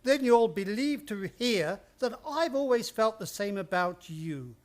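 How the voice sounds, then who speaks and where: A man's voice: high voice, animated, a little slow. A man playing a smug, wealthy older stepfather in a radio play, genteel, drawling and needling. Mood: taunting.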